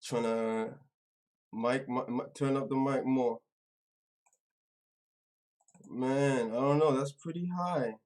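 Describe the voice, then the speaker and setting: Halting, casual male livestreamer. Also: medium pitch, darkish tone. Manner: informal, slangy address to viewers; halting, distracted, trailing off mid-sentence. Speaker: livestream host. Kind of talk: casual live-stream chat. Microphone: some distance from the microphone.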